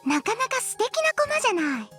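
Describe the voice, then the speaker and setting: A smug little witch girl for a visual novel. Her very high, bright voice rattles along quickly, sugary and boastful. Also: very animated, soft background music, heavily acted. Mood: smug, playful. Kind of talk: Japanese visual novel acting.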